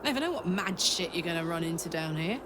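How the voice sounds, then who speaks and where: A big-hearted, brash female soldier from a fantasy game, played by a woman, with a British accent and a slightly low voice. She talks bluntly, like a protective pal. Ambient noise is clearly audible behind her. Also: animated, quick pace, natural voice. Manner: blunt, sweary, chummy. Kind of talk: video game character acting.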